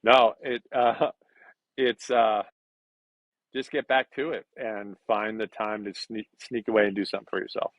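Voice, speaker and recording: A man in his fifties talking as an interview guest, in a halting conversational way with repeated words. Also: lowish voice, flat delivery, dry recording. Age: 50s. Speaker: podcast guest. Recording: dull lo-fi call audio.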